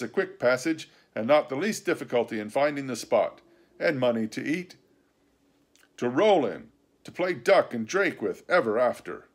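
A man voicing an excitable, boastful gentleman squire in an audiobook, eager and tumbling over his own plans. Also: medium pitch, natural voice. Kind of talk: audiobook character reading.

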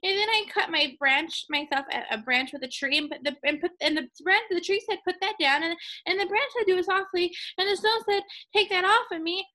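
A panicky storybook farmer, acted out by a woman, gasps out his complaint with urgency. The voice is high and piercing, swinging widely up and down as he repeats himself. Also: expressive, normal speed, pushed and bright, exaggerated voice. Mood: breathless, frantic. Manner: breathless, repetitive, urgent. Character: frightened male farmer, breathless and panicky, in a folktale.

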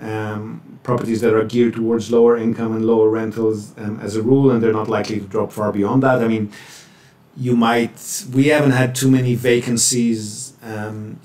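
A man's voice: medium pitch, a little mellow. Man presenting from a distant, echoey mic. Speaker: webinar presenter, property buyer's agent. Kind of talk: answering audience questions in a webinar. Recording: roomy echo, distant microphone.

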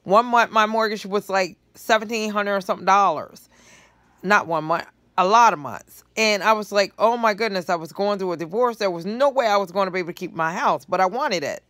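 A middle-aged woman talks straight into a phone held close on her couch, her voice dry and near. Her tone is relaxed and conversational, and she works through the numbers out loud as she goes. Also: mid-range voice, a little fast, no echo. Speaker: vlogger commenting on news clips. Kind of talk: casual commentary to camera. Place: living room couch indoors. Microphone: phone held close at low angle.

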